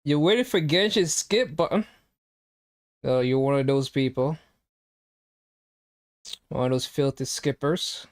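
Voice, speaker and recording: A man's voice: medium pitch, slow pace, long pauses. A young man streaming a game, chatting casually and teasing his chat with jokes. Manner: joking, teasing, reacting to chat.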